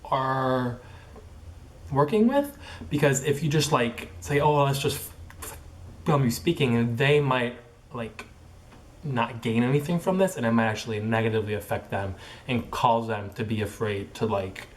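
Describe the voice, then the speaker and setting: Young man who stutters, halting and filler-heavy. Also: mid-range voice. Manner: stutters with repeated sounds and blocks; filler-heavy, halting phrasing with many likes and ums. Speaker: vlogger. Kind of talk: casual personal vlog monologue.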